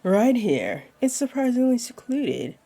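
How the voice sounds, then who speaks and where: A flirty jaguar beastling woman, played by a voice actress, speaks in a dark, mellow voice with a husky, breathy edge. She takes her time, teasing in a playful, laid-back way and soothing as she goes. It is intimate roleplay audio aimed at a single listener. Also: on the high side, big pitch swings, unhurried, exaggerated voice. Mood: teasing, reassuring. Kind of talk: roleplay audio acting, addressed to one listener.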